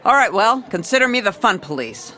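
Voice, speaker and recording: An actress playing a world-weary, dogged female police commissioner, narrating noir-style in a dry, deadpan way. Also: medium pitch, a little fast. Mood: dry, determined. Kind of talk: noir detective narration and dialogue.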